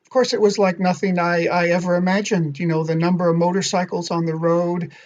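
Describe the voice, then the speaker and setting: A man who is a guest author on a podcast, explaining ideas in a measured, thoughtful way. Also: high voice, little pitch movement, highs cut off. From not Thai. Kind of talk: podcast interview.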